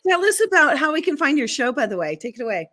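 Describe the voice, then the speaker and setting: A woman's voice: high-pitched, big pitch swings, fast talker, bright, forceful tone. A woman hosting a live show, upbeat and enthusiastic as she asks her guest questions. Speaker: show host.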